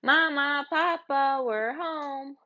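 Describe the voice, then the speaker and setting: A woman's voice: sits high, slow, sharp tone, muffled recording, pitched above the performer's own voice, heavily acted. A woman voicing a worried, whiny, innocent little boy in a storybook. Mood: eager, innocent.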